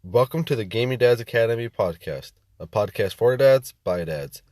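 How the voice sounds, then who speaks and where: A man hosting a casual gaming podcast, speaking in a hesitant, halting way with fillers. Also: mid-range voice, expressive, darkish tone, dry recording, studio quality. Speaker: podcast host. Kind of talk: casual chat.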